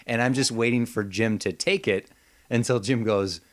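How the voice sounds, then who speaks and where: A man co-hosting a podcast in casual, comedic chat, joking and mildly profane in an animated way. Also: medium pitch, normal speed. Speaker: podcast co-host.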